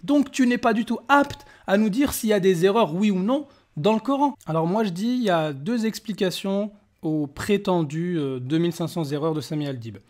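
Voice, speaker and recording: A man's voice: sits high, moderate pace, a little mellow. A man hosting a polemical commentary, talking in a mocking, combative and emphatic way. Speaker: YouTube host.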